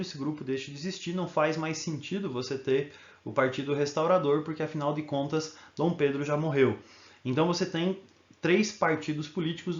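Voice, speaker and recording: Male teacher, fairly high-pitched, informal explanatory lesson, roomy echo, muffled audio. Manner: informal, explanatory, with frequent tag questions. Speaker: history teacher. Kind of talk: recorded video lesson.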